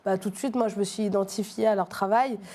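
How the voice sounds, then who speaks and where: A middle-aged woman from Martinique talks through her answers in a mellow, warm tone. She hesitates often, restarting her sentences and filling pauses. It sounds like a relaxed interview conversation. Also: mid-range voice. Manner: hesitant, many fillers and restarts. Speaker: street artist guest. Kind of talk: casual interview talk.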